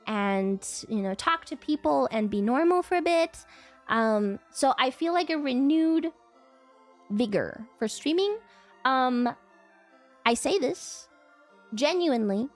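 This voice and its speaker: A woman's voice: sits high, lively intonation, takes it slowly, soft background music. A woman livestreamer with a cold, chatting casually and rambling, breaking into whiny, playful outbursts, on a desk mic at home. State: congested with a cold. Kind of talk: casual chat stream.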